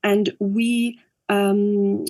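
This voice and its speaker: Austrian-accented woman, halting and thoughtful. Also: mid-range voice, flat delivery, a little mellow. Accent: Austrian German. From Upper Austria. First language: German. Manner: thoughtful, halting with frequent fillers. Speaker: guest, actress and director. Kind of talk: interview conversation.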